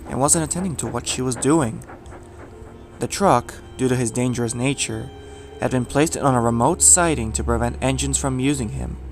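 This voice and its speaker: A man narrates a scripted horror tale in a medium-pitched voice. He delivers it as a storyteller, measured and with dramatic emphasis, while music plays clearly behind him.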